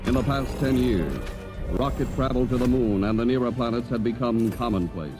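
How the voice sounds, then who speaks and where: An actor playing a solemn narrator, measured and dramatic, in a vintage science fiction radio drama. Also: male voice, medium pitch, lightly acted. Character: solemn male narrator of a space adventure. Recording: vintage radio recording with music.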